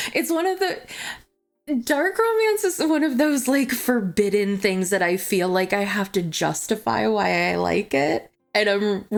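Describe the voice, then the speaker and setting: A young adult woman chatting as a book vlogger, gushing and confessional in manner. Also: medium pitch. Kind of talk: chatty book review to camera. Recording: music playing behind the voice. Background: background music.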